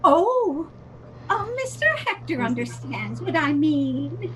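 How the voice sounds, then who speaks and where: A dainty, eccentric old lady as a woman acts her in a stage comedy. Her voice is extremely high and swings melodically, and she speaks slowly, with a gentle, dreamy hospitality. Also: sing-song, slight background noise, full character voice. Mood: sweetly coaxing. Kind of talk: comedic readers theater acting.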